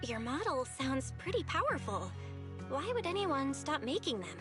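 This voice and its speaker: A woman's voice: high-pitched, big pitch swings, moderate pace, over music. A woman voicing a curious, friendly young junior disciple in a video game.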